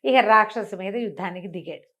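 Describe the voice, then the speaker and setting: A woman's voice: mid-range voice, a little fast, fairly bright tone. A woman tells a fantasy folk tale in a conversational way, using rhetorical questions and repetition. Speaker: storyteller on a web show.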